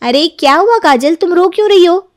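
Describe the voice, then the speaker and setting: A woman's voice: very high-pitched, animated, moderate pace, dry recording, studio quality. A woman voicing an outspoken young daughter-in-law in a cartoon, talking boldly. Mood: defiant. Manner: bold, defiant. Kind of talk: Hindi cartoon dubbing.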